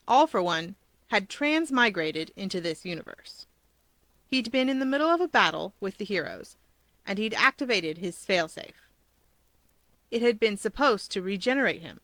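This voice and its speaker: A woman's voice: medium pitch, fairly bright tone, no echo. A woman hosting and narrating fan fiction as scripted storytelling, in a close, dry recording. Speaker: fan fiction reader and host.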